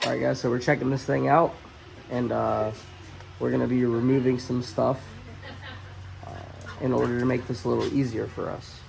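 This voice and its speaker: A man hosting a car channel, hoarse as he loses his voice, explaining a suspension install in a relaxed, chatty way with filler pauses. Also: medium pitch, soft background music, low-quality recording. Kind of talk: casual how-to narration. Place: auto shop garage.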